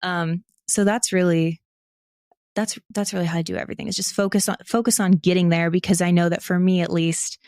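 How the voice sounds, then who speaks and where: A woman's voice: lowish voice, fairly quick, no room sound, clean studio sound. A woman guest speaking casually and candidly in an interview, often saying "like" and acting out her own thoughts. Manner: candid, animated self-quoting, frequent "like". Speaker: podcast guest, audio drama creator.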